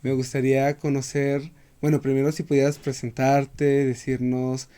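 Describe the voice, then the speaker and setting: A man hosting a relaxed interview, opening with a greeting and asking his guest questions in an easygoing way. He uses everyday fillers as he phrases each question, at a medium pitch. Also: normal speed, smooth. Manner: friendly, conversational questions with fillers. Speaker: interview host. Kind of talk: casual interview.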